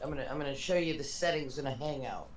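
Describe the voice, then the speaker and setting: A man's voice: mid-range voice, fast. A man in casual conversation on a stream, speaking in a directive way as he helps out. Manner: directive, step-by-step. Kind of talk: casual chat. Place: remote voice chat call. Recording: echoey voice chat with faint noise.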